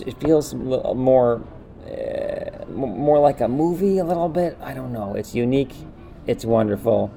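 Mellow, gravelly man chatting casually. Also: mid-range voice. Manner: enthusiastic, repeats phrases. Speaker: podcast co-host. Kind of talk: casual chat.

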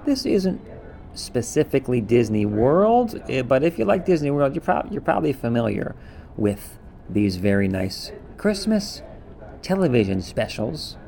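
A man's voice: mid-range voice, sing-song, a little slow, creaky, a little mellow, slight background noise. A man co-hosting a podcast, chatting casually and recapping in a rambling, offhand way. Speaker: podcast co-host.

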